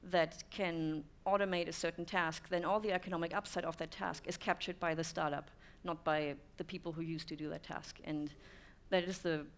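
A woman's voice: sits low, steady tone, moderate pace, hardly any pauses. A German woman in her thirties giving a conference talk to an audience, thoughtful, with many "um" fillers. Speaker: speaker. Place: dim stone-walled room with audience.

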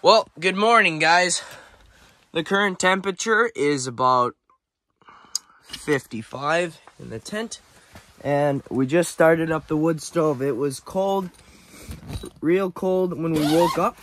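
A young man casually vlogging a winter camping and ice fishing trip, easygoing and excitable. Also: a little high, slow. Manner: easygoing, excitable when fish are caught. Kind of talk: casual outdoor vlog. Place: ice-fishing tent and frozen lake.

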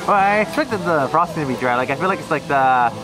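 A woman's voice: low-pitched, animated, fast, poor audio. A woman chatting casually outdoors as the companion on a vlog. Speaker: vlog companion behind the camera. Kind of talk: casual chat. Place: outdoors at night in a theme park. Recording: music playing behind.

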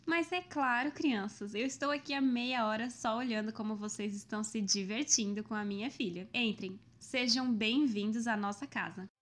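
A woman's voice: a little high, moderate pace, few pauses, a bit bright, natural voice. A woman voicing a welcoming, kindly mother with a Brazilian accent in a children's cartoon.

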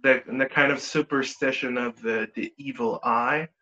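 A man with an Israeli accent, a native Hebrew speaker, chatting as a podcast guest in a halting way with many fillers. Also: medium pitch, fairly even intonation, bright, forceful tone, lo-fi sound. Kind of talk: casual interview chat.

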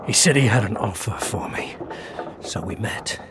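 An actor playing a proud, wounded elf rebel in a fantasy video game, bitter, gravelly and weak with pain. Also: male voice, on the low side, animated, moderate pace, lightly acted. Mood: bitter, grieving. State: weak, in pain, breathy gasps. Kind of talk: dramatic video game acting. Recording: loud ambient noise behind voice.

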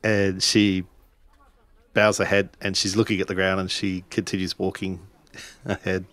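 A man playing a tabletop roleplaying game, narrating his character's actions haltingly as he searches for words. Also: deep voice, little pitch movement, a bit bright, no echo. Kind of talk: improvised third-person roleplay narration.

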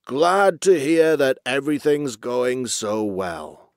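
A man's voice: medium pitch, big pitch swings, slow pace, dry recording, clean studio sound. Old walrus chief, acted by a male storyteller: big, blustery, self-satisfied, grunting, storybook style. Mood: smug.

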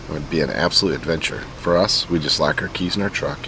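Low-voiced man vlogging outdoors. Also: fast talker, pushed and bright, a little hiss or hum, rough recording. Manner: dry joking, low-key in the morning. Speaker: vlog host. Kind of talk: casual vlog chat. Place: outdoor campground under a canopy. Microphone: lapel mic.

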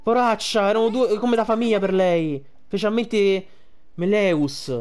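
A man's voice: high voice, unhurried, a little mellow. Young guy, streaming a game, talks colloquial and sweary, really into it. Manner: colloquial, swearing, emotionally invested. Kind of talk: casual game commentary.

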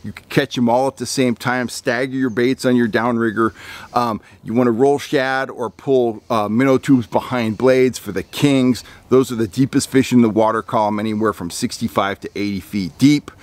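A man's voice: mid-range voice, normal speed. A man in his fifties from California, a fishing guide hosting a casual outdoor fishing report to camera, rambling with lots of ums and restarts. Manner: rambling, frequent ums and restarts, lively on recommendations. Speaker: fishing guide and channel host. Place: outdoor deck among pine trees.

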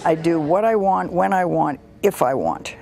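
A woman's voice: lowish voice, expressive, moderate pace, gravelly, darkish tone, a faint crowd. A woman in her eighties, blunt and feisty, interviewed aboard a cruise ship. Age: 80s. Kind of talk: casual interview.

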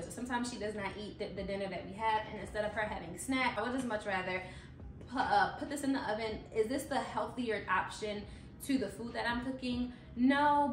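A young woman chatting through a grocery haul in a matter-of-fact way, her voice sitting a little high. She is filmed at home in a kitchen, and the camera stands back from her. The sound is roomy, with some low background hum. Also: distant mic. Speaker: vlogger. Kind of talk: casual grocery haul walkthrough. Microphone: camera a few feet away. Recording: roomy kitchen echo from across the counter.

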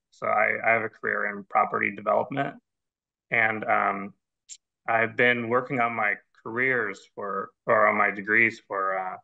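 A man's voice: mid-range voice, vocal fry, bright, forceful tone. A man in his thirties, from the Netherlands, speaking with an American accent as an interview guest over a video call, earnest and explanatory. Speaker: guest scholar. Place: plain indoor room. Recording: dull lo-fi video call audio.

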